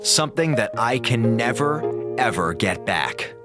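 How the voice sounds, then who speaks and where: An actor playing a wry, exasperated space station communications officer in a radio drama, sardonic and theatrical with dramatic pauses. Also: male voice, mid-range voice, sing-song, loud music behind the voice. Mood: resigned. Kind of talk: radio drama monologue, log to listeners.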